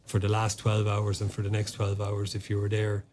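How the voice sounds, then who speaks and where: A man's voice: fairly low pitch, monotone, moderate pace, a little mellow, slight background noise. An Irish man talking as a podcast guest in a casual interview, often stopping and restarting his sentences with fillers. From Ireland. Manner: hesitant, many fillers and restarts. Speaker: podcast guest, former soldier.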